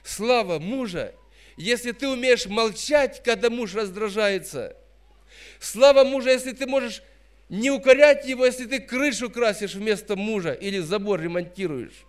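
A man's voice: high voice, normal speed. A man in his fifties preaching a sermon in an emphatic, admonishing way, repeating phrases for stress. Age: 50s. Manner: admonishing, didactic.

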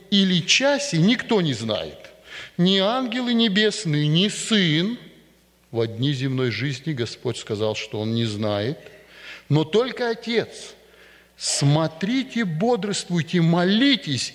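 A man preaching a sermon to a congregation in a church, speaking emphatically and deliberately. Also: fairly high pitch. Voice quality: emphatic, rising and falling for stress, slow deliberate delivery. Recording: long reverb of a church hall.

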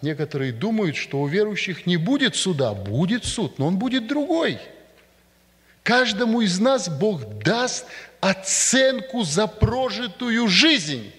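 A man preaching a sermon to a congregation in a church, speaking emphatically and deliberately. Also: medium pitch. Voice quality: emphatic, rising and falling for stress, slow deliberate delivery. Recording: long reverb of a church hall.

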